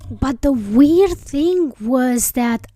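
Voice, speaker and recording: A woman's voice: sits high, animated, very slow, dark tone, no echo, studio quality. A woman from Romania, an English speaker, hosting her podcast in a reflective, rambling way that keeps correcting itself. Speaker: podcast host. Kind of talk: casual solo podcast reflection.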